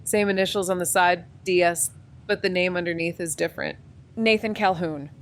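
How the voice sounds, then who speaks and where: A woman's voice: fairly low pitch, fairly even intonation, normal speed, a little hiss or hum, lightly acted. An actress playing a skittish, wisecracking female amateur sleuth in a mystery audio drama, blunt, colloquial and sarcastic. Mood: puzzled, uneasy. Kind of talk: neo-noir audio drama dialogue and narration.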